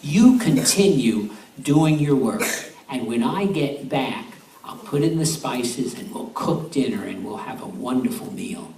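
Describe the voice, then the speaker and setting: A man voicing a kind old grandmother who gives gentle warnings, close to his own voice. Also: mid-range voice, expressive, unhurried, vocal fry. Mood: cautioning. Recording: echoey room, distant mic, faint hum.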